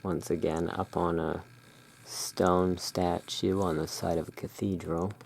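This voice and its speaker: A low-pitched, gravelly ASMR voice that moves very slowly through its descriptions. The delivery stays level and hushed, as a roleplaying shopkeeper muses over artwork. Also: little pitch movement, slight background noise. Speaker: host of a roleplay as a curiosity emporium owner. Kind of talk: ASMR roleplay, describing tarot card art.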